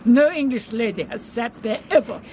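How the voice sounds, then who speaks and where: An actress playing a cold, imperious baroness, formal and dismissive, in a radio drama. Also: female voice, fairly high pitch, expressive, normal speed, mellow, natural voice. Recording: faint train noise behind.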